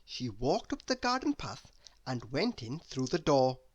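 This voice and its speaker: A woman reading a children's story aloud as an expressive narrator. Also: very deep voice, unhurried, breathy edge, dull audio. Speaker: story reader.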